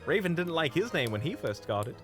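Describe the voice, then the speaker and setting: A man's voice: a little high, big pitch swings, a little fast, fairly bright tone, soft background music. A man acting a charming, unflappable young hunter in a fantasy audio drama, courteous, playful and musing.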